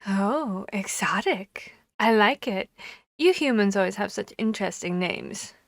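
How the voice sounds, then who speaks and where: A harpy played by a woman in an ASMR audio roleplay, with a mid-pitched feminine voice recorded close and dry. She phrases things formally and deliberately, commanding yet courteous, with bashful little hesitations. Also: expressive, vocal fry, dry recording. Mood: curious, polite.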